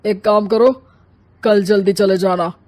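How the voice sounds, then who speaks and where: A woman's voice: mid-range voice, steady tone, unhurried, a little mellow, dry recording, close to an everyday voice. Woman putting on an overbearing, dismissive office boss. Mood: bossy, dismissive. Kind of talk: cartoon story acting.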